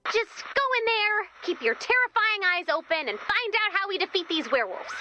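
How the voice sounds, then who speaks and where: A woman's voice: very high-pitched, big pitch swings, normal speed, lo-fi sound, heavy background noise. A woman playing a peppy, encouraging young mission leader in a video game, chatty and coaxing. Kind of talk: video game character voice acting.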